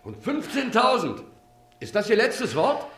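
A man's voice: extremely high pitch, huge pitch swings, unhurried, a bit bright, roomy sound, heavily acted. An actor playing a crude, teasing man who is a guest in a couple. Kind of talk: radio play acting.

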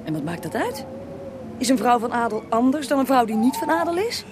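A young female reporter, eager and awed, with a fairly high, very lively voice. She is inquisitive and animated, in radio play style. There is clear noise on the recording. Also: a little fast. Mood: curious. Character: eager, awed young female journalist interviewing a historical ghost. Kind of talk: radio drama acting.

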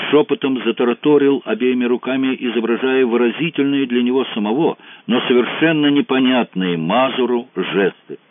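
A man narrating an audiobook in an even, measured reading. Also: medium pitch, no echo. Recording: dry, narrow phone-like sound.

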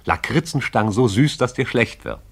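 An actor as the narrator of a poetic radio drama, telling it in a lyrical, wry storytelling manner. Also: male voice, medium pitch, lively intonation, normal speed, breathy edge.

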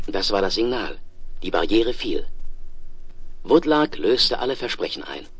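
Low, animated space explorer. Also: male voice, unhurried, slightly rough, heavily acted. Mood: assured, reporting. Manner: theatrical, dryly ironic. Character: confident, wry male space explorer. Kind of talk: 1970s German radio play acting.

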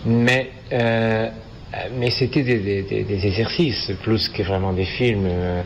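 A man from Portugal speaking with a Portuguese accent as an interview guest, halting and searching for words in a non-native language. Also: lowish voice, fairly even intonation, dull audio. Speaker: interview guest, animation filmmaker. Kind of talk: casual interview answers. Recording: festival interview with background noise.

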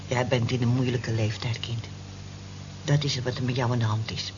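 A woman's voice: extremely low pitch, level tone, normal speed. An actress in a radio drama playing a down-to-earth, motherly woman of nearly sixty with a frank manner. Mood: wry, reassuring. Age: 50s. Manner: frank, matter-of-fact, motherly. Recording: dull lo-fi old radio recording, hiss.

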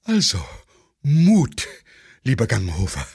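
An actor playing a hurried, anxious aide-de-camp who coaxes and fusses urgently. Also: male voice, medium pitch, huge pitch swings, a little mellow, breathy edge, dry recording, studio quality, exaggerated voice. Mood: urgent coaxing. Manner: urgent, coaxing, fussy. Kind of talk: radio play acting.

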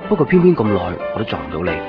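An actor playing a reflective, brooding narrator in a radio drama monologue, with a Hong Kong Cantonese accent. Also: male voice, fairly low pitch, sing-song, racing. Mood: pensive. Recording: muffled 1990s radio, music behind.

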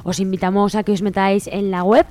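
A woman's voice: mid-range voice, little pitch movement, brisk, darkish tone. A woman with an accent from Spain, hosting a radio show and informally reading out event announcements. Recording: music playing behind the voice. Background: music.

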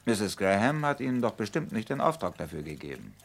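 An actor playing a shrewd, insistent police detective in a German radio crime drama, probing and dryly polite. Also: male voice, on the low side, very animated, fairly quick, creaky, exaggerated voice.

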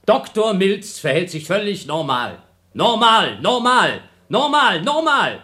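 A man's voice: high-pitched, sing-song, slow, pushed and bright, heavily acted. A man playing an impulsive, sarcastic astronaut in a German radio play, arguing and accusing his crewmate. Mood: mocking outrage. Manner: argumentative, sarcastic, swinging from muttering to exclaiming.

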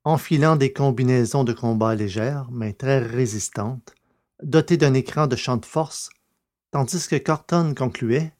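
Mid-pitched male narrator, close dry sound. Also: a little slow, a little mellow, dry recording. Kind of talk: scripted narration of science fiction audio drama.

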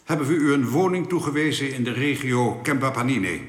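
An echoing hall carries the voice of an unbending alien councillor played by a man, mid-pitched and measured. He delivers official decrees with formal authority, in radio drama style, through long reverb. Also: close to an everyday voice. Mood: formal, cool.

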